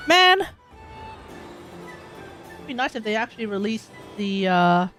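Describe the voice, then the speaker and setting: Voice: medium pitch, sing-song, a little slow, sharp tone, faint music behind. Australian streamer muttering commentary. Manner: chatty, muttering asides, reads text aloud. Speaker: game streamer. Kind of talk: casual live-stream commentary.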